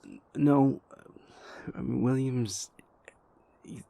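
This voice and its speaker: A man playing a stressed young vampire prince confiding in his lover in an intimate, halting audio roleplay monologue, with a husky, gravelly voice. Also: medium pitch, fairly even intonation, mellow. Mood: hesitant, worried. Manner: halting, hesitant, exasperated, conversational.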